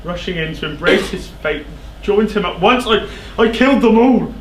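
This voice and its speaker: Guilt-racked king for stage tragedy, male actor: high voice, halting, overwrought delivery, faint noise behind. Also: animated. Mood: anguished confession. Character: anguished king, haunted by guilt and dread. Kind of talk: classical tragedy stage acting. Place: indoor theatre stage. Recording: echoey theatre stage, clear background noise.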